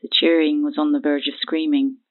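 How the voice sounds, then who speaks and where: An actress playing a frightened, paranoid woman recounting a horror in halting speech over a phone in an audio drama. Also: deep voice, level tone. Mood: numb horror. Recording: thin, phone-like band-limited sound.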